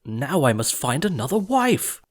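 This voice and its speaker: A man voicing a fussy, self-pitying fairy-tale king with a theatrical, drawn-out wail. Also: fairly high pitch, big pitch swings, pitched above the performer's own voice. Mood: exasperated wailing.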